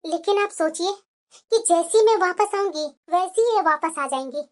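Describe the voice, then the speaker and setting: An extremely high, girlish cartoon voice acted by a woman for a newlywed daughter-in-law. She is polite and quietly scheming, reasoning things out respectfully. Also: expressive, unhurried. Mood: calm persuasion. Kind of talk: Hindi moral-story cartoon acting.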